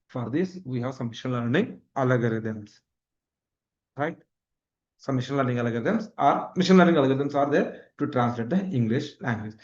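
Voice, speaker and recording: A male instructor with a South Indian accent, a native Kannada speaker, gives a live screen-demo lecture. He repeats key phrases and often checks that listeners follow. His voice sits at an ordinary male pitch, and the recording is dull and low in fidelity. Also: moderate pace. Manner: repetitive, checks understanding with frequent tag questions.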